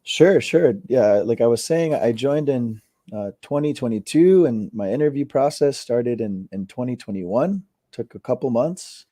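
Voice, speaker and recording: A man in his thirties, from Costa Rica, chatting casually as an interview guest, relaxed with many fillers and repeated words. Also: medium pitch, measured pace, no room sound, studio quality. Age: 30s.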